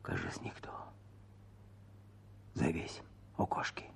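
An actor playing a hunted peasant husband in a radio drama, stubborn and tender, speaking in a hushed voice. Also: male voice, takes it slowly, natural voice. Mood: secretive, urgent. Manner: hushed, often whispering.

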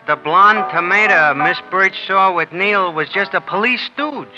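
A naive American bartender in 1940s radio style, slangy and forever puzzled. His voice is high for a man, heard on a muffled old recording over clear music. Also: a little slow. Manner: slangy, plain-spoken, puzzled. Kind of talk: 1940s radio crime drama acting.

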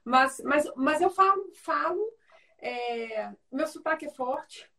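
A woman's voice: high-pitched, animated, slow, pushed and bright. A woman with a Brazilian Portuguese accent, chatting as an interview guest over a video call, full of tag questions and restarts. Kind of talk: casual conversation. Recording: voice distant from the mic.

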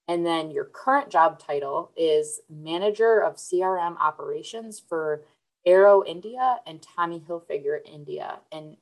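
A woman's voice: sits low, darkish tone, low-quality recording. A woman hosting an interview podcast, reading a scripted intro and then asking questions in an upbeat, encouraging way. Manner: upbeat, encouraging, frequent fillers and restarts. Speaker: podcast host.

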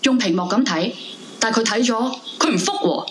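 A woman's voice: on the high side, brisk, slight background noise, close to an everyday voice. An actress playing an anguished, sleepless young woman left by her boyfriend, emotional and pleading, in a Cantonese radio drama. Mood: resentful, resigned. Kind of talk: Cantonese live radio drama acting with narrated monologue. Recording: music behind the voice. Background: background music.

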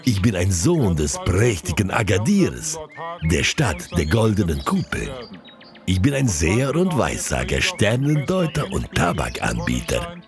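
Ein Mann spielt in einem Fantasy-Hörspiel einen höfischen Wahrsager, der blumig, ehrfürchtig und mit gedehnten Worten schmeichelt. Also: male voice, sits low, music in the background, heavily acted. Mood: courtly flattering. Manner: ornate, reverent flattery with drawn-out words. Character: flowery, courtly male desert fortune-teller and tobacco seller. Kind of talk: fantasy audio drama acting.

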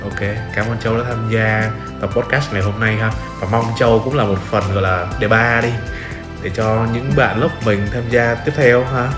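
A man's voice: lowish voice, fairly even intonation, moderate pace. Young male podcast host, echoey room. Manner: agreeable, frequent short acknowledgements. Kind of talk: casual interview. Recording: roomy echo, faint music behind. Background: faint music.

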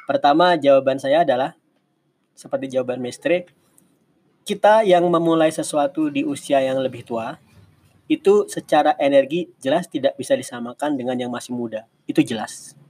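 Man, forties, mid-pitched voice, casual mentoring talk, explanatory, self-correcting asides. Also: moderate pace, darkish tone. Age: 40s. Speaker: online mentor answering audience questions. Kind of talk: casual advice talk in a live session.

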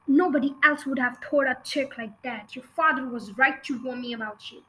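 Brief: storybook bully headmistress, shouting, ranting, put on by a woman reader, high and bright. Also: normal speed, slight background noise. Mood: raging. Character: furious bullying woman headmistress, raging and cruel.

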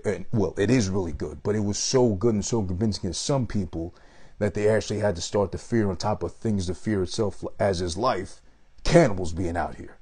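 A man's voice: lowish voice, dark tone, slightly rough. A man giving a rambling, profane movie review with an unusual voice. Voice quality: unusual voice that might put people off. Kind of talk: casual profane movie rant.

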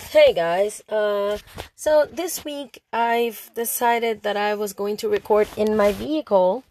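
A woman with a hoarse, under-the-weather voice, talking informally and a little hesitantly to her listeners. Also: medium pitch, slow, a little mellow, a little hiss or hum. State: hoarse, unwell. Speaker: host of an amateur audiobook podcast. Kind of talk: casual spoken update to listeners. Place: inside a parked vehicle in light rain for part of it.

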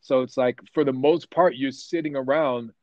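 Guy voice actor chatting in an interview, rambly and lively, dry kinda dull sound. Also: mid-range voice, normal speed, no room sound. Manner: rambling, animated storyteller with many restarts. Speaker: voice actor guest. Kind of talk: casual interview chat.

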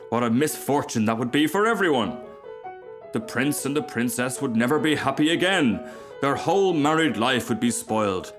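A man acts out a vain male firework rocket from a storybook. He declaims with haughty emphasis and takes offence easily, pitched a little above the narration and pitying himself grandly. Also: lightly acted. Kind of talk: storybook character voice.

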